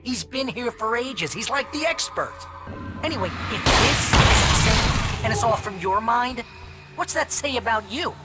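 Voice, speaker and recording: A brash, wisecracking buddy in a video game. He is slangy and sarcastic, swinging widely in pitch over loud music. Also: lively intonation, a little slow. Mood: glib, coaxing. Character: wisecracking imaginary best pal, brash, teasing, needling man. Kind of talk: comic game dialogue.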